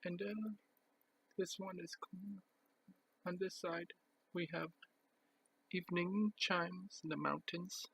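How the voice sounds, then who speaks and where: A man giving a casual show-and-tell as a collector, speaking in a halting, piecemeal way with pauses. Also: sits high, fairly even intonation, gravelly, fairly bright tone, husky, low-quality recording. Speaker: record collector presenting his collection.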